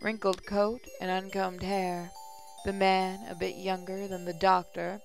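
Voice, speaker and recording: Casting: female game-channel host, noticeable vocal fry, mid pitch, casual read-aloud of story text over soft music. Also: flat delivery, unhurried, poor audio. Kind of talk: reading visual novel text aloud, with casual asides.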